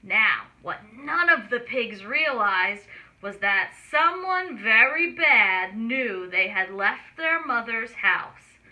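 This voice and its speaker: A woman narrates a children's story in a high, very bright voice. She takes her time and swoops expressively, explaining things simply for little listeners. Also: animated, takes it slowly, highs cut off. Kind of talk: children's read-aloud narration with asides.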